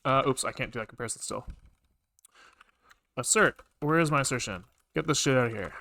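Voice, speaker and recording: A man with a mid-pitched voice talks to himself while coding on a livestream. He mutters bits of code in fragments with casual swearing, recorded dry and close to the mic. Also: fairly quick, creaky, pushed and bright, dry recording. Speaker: programming livestreamer.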